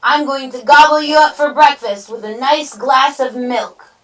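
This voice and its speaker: Heard a little way from the mic, a slobbering, greedy troll acted by a woman bellows and roars. He is a bullying storybook monster, pitched up and loud. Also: big pitch swings, slow pace, recorded from a distance, slight background noise. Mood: menacing, hungry. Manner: roaring and bellowing. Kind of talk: children's storybook read-aloud.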